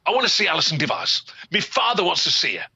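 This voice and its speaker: A brash young man, voiced by a storyteller, blunt and rough-spoken, raised a little in pitch with a bright, husky edge. The recording sounds dry and slightly dull. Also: huge pitch swings, fast talker, no echo, full character voice. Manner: blunt, rough dialect speech. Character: brash young man, the peddler's angry son.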